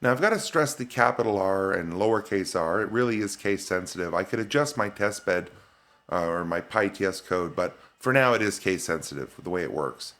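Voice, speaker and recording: A man's voice: low-pitched, lively intonation, fairly quick, a bit bright. Man casually presenting a tech demo.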